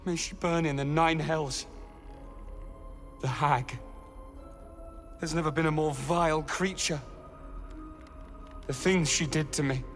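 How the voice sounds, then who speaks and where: A haunted, shaken elf prisoner. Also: male voice, steady tone, slow pace, pauses often, faint music behind. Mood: terrified. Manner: trembling, low and bitter. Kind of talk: video game voice acting.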